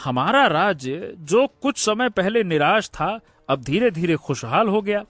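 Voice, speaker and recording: Kind young cartoon prince, male actor: slow, theatrical delivery, high voice, excitable. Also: dry recording, soft background music, exaggerated voice. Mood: pondering, puzzled. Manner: theatrical, drawn-out exclamations and hums. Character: excitable kind-hearted young prince of a fairy-tale kingdom. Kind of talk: animated Hindi moral-story cartoon dialogue.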